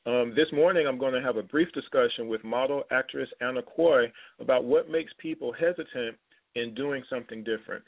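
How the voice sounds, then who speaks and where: A man's voice: medium pitch, gravelly. A man hosting a talk radio show, speaking loosely and casually with many fillers. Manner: loose, filler-heavy, conversational. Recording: tinny phone-like line.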